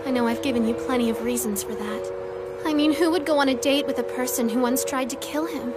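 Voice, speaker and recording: A woman plays a coquettish young anime girl with a dark streak. Her voice is extremely high, with teasing, dramatic, drawn-out phrasing typical of a dub. Prominent music runs underneath. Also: lightly acted. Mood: earnest, pleading.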